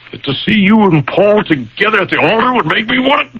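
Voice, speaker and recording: An actor playing an anguished, self-loathing older professor in a vintage radio drama, speaking in halting, repeated phrases. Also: male voice, high voice, lively intonation, husky. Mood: anguished confession. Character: tormented, self-loathing old professor, father of the groom. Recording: dull vintage radio broadcast recording.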